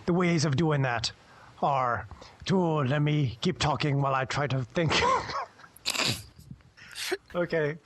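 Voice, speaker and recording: A man's voice: fairly high pitch, takes it slowly, pauses often. A man playing a jovial, swaggering pirate guide who rambles through advice in piratey phrasing for a radio show. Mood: rambling.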